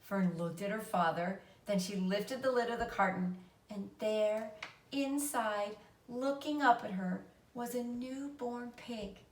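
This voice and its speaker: A woman's voice: mid-range voice, slow. A woman narrating a children's story aloud like a teacher reading to a class. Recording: roomy echo, distant mic.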